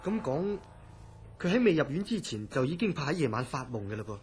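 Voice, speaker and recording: An actor playing a grave, courteous mental hospital director in a Cantonese horror radio drama, speaking deliberately and probingly in a husky voice with a Hong Kong accent. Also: male voice, fairly high pitch, big pitch swings, over music.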